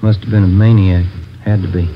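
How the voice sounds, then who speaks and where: A man's voice: sits low, little pitch movement, a little fast, close to an everyday voice. An actor playing a tired, glum police detective, the muttering everyman partner in a radio crime drama. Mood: shaken, somber. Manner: muttering, weary. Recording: muffled, noisy old radio recording.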